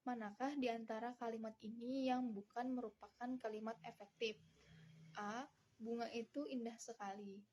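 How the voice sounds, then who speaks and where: A young adult woman, a student, giving a scripted presentation read aloud in a formal, recited manner with halting breaks between phrases. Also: fairly high pitch, mellow.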